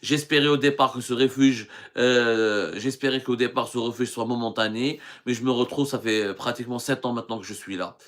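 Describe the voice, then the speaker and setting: A man's voice: medium pitch, fairly even intonation, fairly quick, hardly any pauses. A middle-aged man from Algeria, a journalist hosting a live stream and answering viewer questions in an earnest way, with frequent hesitations and restarts.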